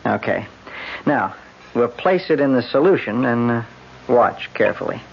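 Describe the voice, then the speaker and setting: A knowing old-radio doctor, american, measured explaining, creaky voice, bit of hiss. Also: male voice, mid-range voice, lively intonation. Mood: calm, instructive. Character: methodical male forensic doctor, calm and knowing. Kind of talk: old-time radio drama acting. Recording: dull, noisy vintage radio recording.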